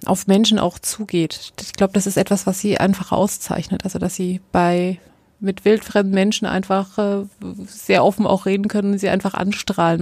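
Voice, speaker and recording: A woman's voice: mid-range voice, a little mellow, no echo. A woman hosting an interview, asking friendly, polite questions with some fillers. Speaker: interviewer, podcast host.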